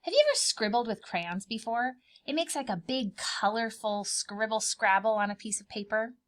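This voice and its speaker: A woman reading a picture book aloud for preschoolers, expressive and dramatic, pausing to put questions to the children. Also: a little high. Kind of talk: animated children's storybook read-aloud with asides.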